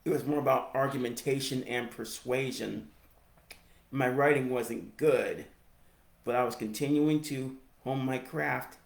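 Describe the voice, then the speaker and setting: An American man in his forties with a speech impediment, giving a personal reflective narration in halting, deliberate phrases. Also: medium pitch, steady tone, gravelly. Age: 40s. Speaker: higher education professional telling own story. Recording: roomy, echoey sound.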